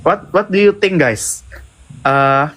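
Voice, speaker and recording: A man's voice: on the high side, slow pace, a bit bright, a little hiss or hum. Young man, Indonesian accent, livestream co-host, casual, hesitant fillers. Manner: playful, hesitant, many fillers, switches into Indonesian.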